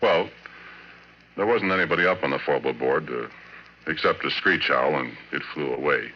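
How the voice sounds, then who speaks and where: An actor playing a folksy, shaken oil-rig worker telling a horror story in a rambling, ungrammatical way, as in 1940s radio drama. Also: male voice, a bass voice, normal speed, slight background noise. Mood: matter-of-fact. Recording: dull vintage radio recording, music behind.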